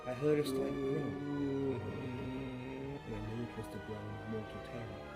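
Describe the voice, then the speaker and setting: A man reading as a gloating madman, slow and hushed. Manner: theatrical, swinging from hushed murmurs to loud outbursts, with low chuckles. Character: unhinged male murderer narrator, feverish and gloating. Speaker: narrator reading a horror tale. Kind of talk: dramatic storytelling reading.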